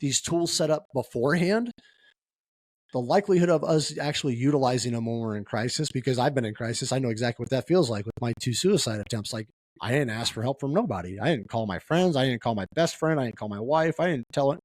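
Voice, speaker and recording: A man's voice: mid-range voice, fast talker, a little mellow, no echo. Earnest, emphatic man hosting a podcast. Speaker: podcast host and first responder peer-support advocate. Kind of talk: conversational podcast interview.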